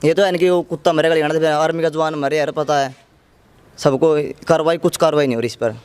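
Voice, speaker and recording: A man's voice: on the high side, steady tone, quick pace, a little mellow, clear, resonant tone, no echo. A man with a Haryanvi accent giving spontaneous testimony as an interviewee.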